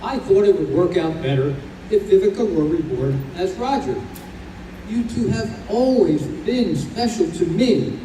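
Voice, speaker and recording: A man's voice: high-pitched, expressive, unhurried, mellow. A man playing a kindly, reassuring spirit guide in an old-time radio play, recorded live in a roomy space. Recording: roomy live stage recording, distant, faint noise.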